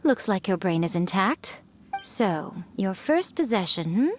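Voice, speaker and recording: A woman's voice: medium pitch, big pitch swings, unhurried, slight background noise. A woman playing a sly, elegant fox priestess in an anime-style video game, coy, playful and self-assured. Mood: pleased, teasing.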